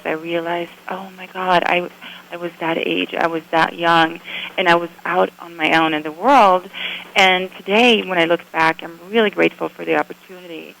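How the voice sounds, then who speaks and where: A woman's voice: lowish voice, vocal fry, a bit bright, slight background noise, rough recording. A middle-aged woman from Sweden with a Swedish accent, telling her story in a friendly, upbeat way as a radio interview guest. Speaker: photographer guest. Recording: radio broadcast.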